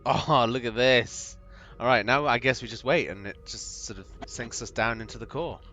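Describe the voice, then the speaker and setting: A man streaming a video game and chatting with viewers, thinking aloud with lots of ums, his voice gravelly from a recent cold. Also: medium pitch, normal speed, bright, forceful tone. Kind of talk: live gameplay commentary, chatting with viewers. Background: game music.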